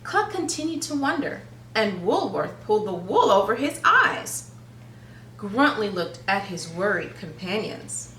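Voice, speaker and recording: Animated, unhurried woman reading a children's story. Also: medium pitch. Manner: deliberate, dramatic phrasing. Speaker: read-aloud storyteller for young children. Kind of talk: expressive children's story narration with questions to listeners. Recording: echoey room, distant mic, faint noise.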